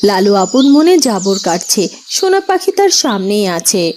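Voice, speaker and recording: A woman's voice: medium pitch, sing-song, normal speed, background noise. A woman narrator reading a scripted fairytale for a cartoon.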